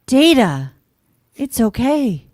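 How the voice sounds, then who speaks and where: Woman playing a mellow, forgiving engineer friend. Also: a little high, very animated, takes it slowly. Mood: warmly forgiving. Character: kind, forgiving male starship engineer friend. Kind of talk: amateur radio theatre script read.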